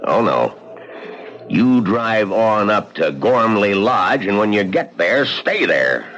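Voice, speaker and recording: An actor playing a stern small-town sheriff giving warnings in an old radio drama. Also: male voice, on the low side, sing-song, slow pace, a bit bright, slight background noise, full character voice. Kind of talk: 1950s radio acting. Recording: dull old radio recording.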